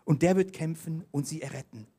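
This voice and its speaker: Guy preaching, mellow voice, tells stories and reads bible bits, clicks thru slides. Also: on the high side, fairly quick, slightly rough. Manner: storytelling, reads scripture aloud, directs slides. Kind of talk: sermon with mission stories.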